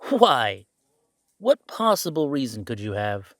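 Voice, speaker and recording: A man's voice: mid-range voice, big pitch swings, slow, no echo, clean studio sound. A man playing a young adult werewolf son in an audio roleplay drama, sardonic and rebellious, with a wry, skeptical delivery.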